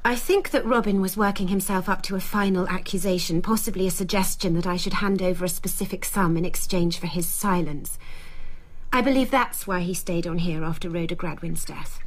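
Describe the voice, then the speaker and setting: A woman's voice: fairly low pitch, lightly acted, poor audio. Actress playing a composed, shrewd, articulate British woman. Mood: composed, assured. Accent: British English. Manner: measured, precise, articulate. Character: composed, shrewd woman giving testimony about her late father. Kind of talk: British radio drama acting.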